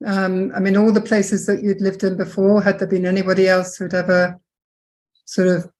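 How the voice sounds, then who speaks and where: A woman's voice: medium pitch, monotone, moderate pace, a little mellow. A woman hosting an interview in a conversational style, polite and affirming with her guest. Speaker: interviewer, host.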